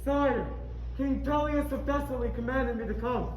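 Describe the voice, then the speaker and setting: A man's voice: very high voice, normal speed, slight background noise. A young man playing an earnest, daring hero in a stage play, with formal, resolute delivery. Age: young adult. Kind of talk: high school stage drama acting. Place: theatre stage. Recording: echoey stage, music behind.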